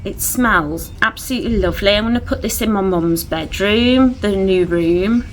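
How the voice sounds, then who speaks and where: A woman's voice: mid-range voice, moderate pace, slight background noise, poor audio. A woman chatting at home in a casual vlog, gushing over things she bought. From England. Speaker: vlogger. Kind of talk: casual haul chat to camera. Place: at home indoors.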